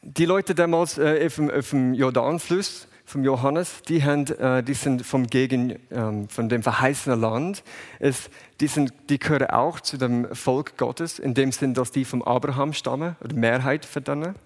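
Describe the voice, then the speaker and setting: A man who grew up in England and the USA, with English as his first language, reads scripture and preaches in German with an English accent, pausing often and restarting words. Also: medium pitch, long reverb tail. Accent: English-speaking. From England, raised in USA. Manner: halting non-native German with restarts. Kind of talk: bible reading and sermon.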